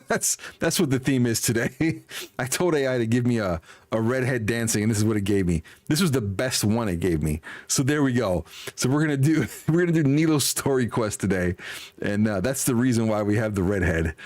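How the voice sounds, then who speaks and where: A man's voice: mid-range voice, animated, moderate pace, darkish tone, dry recording. A man in his forties hosting a game livestream, chatting casually with viewers in an upbeat, folksy manner. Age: 40s. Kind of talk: casual livestream chat, greeting and answering viewers.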